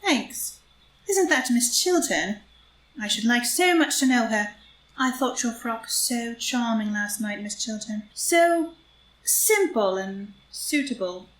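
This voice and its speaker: A woman acting a poised, sly society adventuress in a group play reading, polite and measured with a cutting edge. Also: on the high side, animated, a bit bright. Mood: politely cutting. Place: live in-person group reading in a room. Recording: roomy live reading with noise.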